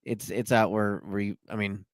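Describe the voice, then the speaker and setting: Dude hosting a podcast, super informal, slangy little interjections, dry room. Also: male voice, fairly low pitch, fairly even intonation, normal speed, dry recording. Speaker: podcast host. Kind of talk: casual podcast chat.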